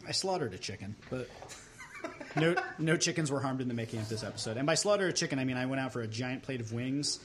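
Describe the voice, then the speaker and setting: A man in his thirties from Long Island, hosting a podcast and telling deadpan tall tales in casual chat. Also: mid-range voice, fast talker, very noisy. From Long Island, New York. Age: 30s. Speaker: podcast host.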